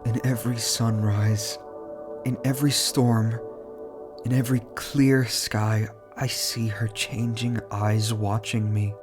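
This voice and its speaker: A man with a stuffy cold voice narrating a horror story in a measured, slow, dramatic delivery. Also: lowish voice, flat delivery. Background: music.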